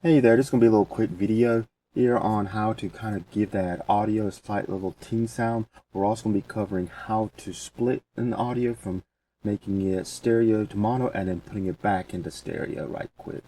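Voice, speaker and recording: A man presenting a casual software tutorial, talking through each step as he goes in informal, folksy phrasing. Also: fairly low pitch, fairly even intonation, a little fast. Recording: distant mic with faint background noise.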